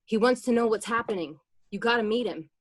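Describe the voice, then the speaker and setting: Crime radio play: woman as high-voiced mobster's wife, anxious and defensive. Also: brisk, close to an everyday voice. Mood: urgent, anxious. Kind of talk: amateur table read of a film script.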